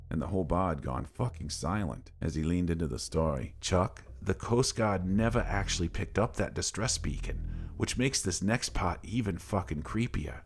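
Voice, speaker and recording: A man narrating a creepy first-person tale like a salty, colloquial barroom yarn, dramatic and gravelly, with swearing. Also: low-pitched, a little hiss or hum. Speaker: horror story narrator. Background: faint ambient background music.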